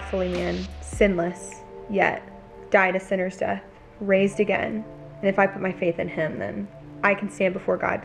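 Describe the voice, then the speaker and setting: A woman's voice: mid-range voice, gravelly. A woman in her twenties, chatting casually to the camera at home, earnest and filled with "like" and "you know". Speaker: lifestyle vlogger. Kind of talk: casual vlog talk to camera. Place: home kitchen and living room couch.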